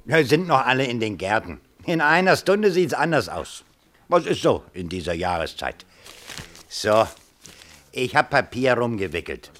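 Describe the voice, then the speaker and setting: An actor playing a chatty, folksy pub landlord in a radio play, knowing, teasing and colloquial. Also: male voice, mid-range voice, very animated, a little slow, a bit bright. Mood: gossipy. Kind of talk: 1970s German radio play acting.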